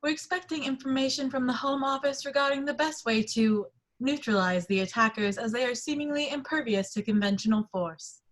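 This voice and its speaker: A woman playing a formal, measured television newsreader in an amateur screenplay table read. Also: high-pitched, natural voice. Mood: grave, official. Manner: formal, official.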